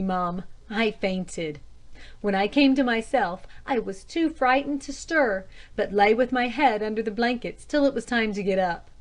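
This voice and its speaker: A woman's voice: fairly high pitch, natural voice. A woman voicing a frightened, indignant female cook in a storybook, explaining herself condescendingly to her mistress. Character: frightened, indignant female household cook, plain-spoken and deferential.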